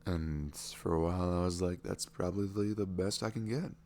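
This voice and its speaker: A man playing a low, creaky-voiced smitten boyfriend. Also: slight background noise. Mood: tender, reflective. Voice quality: low, creaky voice, often dropping toward a whisper. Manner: halting, musing, frequent "you know" and soft chuckles. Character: smitten, vulnerable young neurodivergent boyfriend meeting online love. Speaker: ASMR audio roleplay performer. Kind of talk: intimate ASMR boyfriend roleplay monologue.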